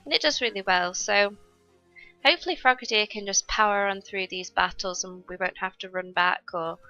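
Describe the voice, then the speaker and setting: A woman's voice: mid-range voice, moderate pace, bright, forceful tone, lo-fi sound, faint music behind. A young woman recovering from a cold, chatting through her gameplay and explaining each step to viewers. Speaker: gaming YouTuber. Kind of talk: casual gameplay commentary.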